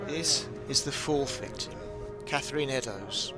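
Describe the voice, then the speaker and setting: An actor playing a brisk, commanding police inspector from Victorian times in an audio play. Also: male voice, mid-range voice, music in the background, lightly acted. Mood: businesslike.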